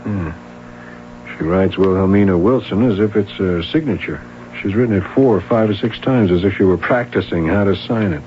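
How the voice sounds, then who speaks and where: Laconic probing police sergeant, as an actor plays him, low voice, old radio drama, dull lo-fi sound. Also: male voice, moderate pace, a little hiss or hum, natural voice. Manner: laconic, probing, mumbled fillers. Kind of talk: 1970s radio drama acting.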